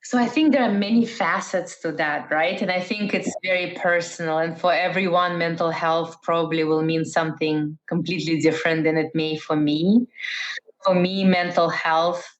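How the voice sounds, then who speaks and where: A woman's voice: fairly low pitch, fairly even intonation, normal speed. A middle-aged woman from Russia, raised in the Netherlands, answering interview questions thoughtfully with many fillers and restarts. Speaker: interview guest, entrepreneur. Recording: dull lo-fi sound.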